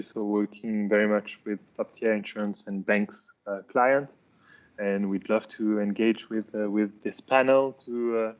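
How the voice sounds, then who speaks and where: Male company founder on a panel, mid pitch, hesitant with lots of fillers, lo-fi call sound. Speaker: startup co-founder and CEO, panelist. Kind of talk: self-introduction on a panel.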